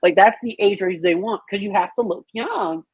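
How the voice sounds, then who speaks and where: A woman's voice: mid-range voice, moderate pace, slightly rough, no room sound, dull audio. A woman chatting casually on a group podcast in a blunt, sassy, theatrical manner. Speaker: podcast panelist. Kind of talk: animated casual group chat.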